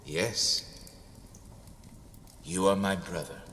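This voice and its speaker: A man voicing a kindly, devout old priest who speaks in a gentle, measured, welcoming way. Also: low voice, steady tone, in a large hall, a little hiss or hum, exaggerated voice. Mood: gentle, reassuring.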